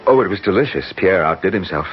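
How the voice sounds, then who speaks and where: An actor playing an anxious, boyish suitor in a 1970s radio drama, stammering and easily flustered. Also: male voice, low-pitched, quick pace, soft background music. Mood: sheepish. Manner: excitable, stammering, exclamatory. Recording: muffled, noisy 1970s radio broadcast.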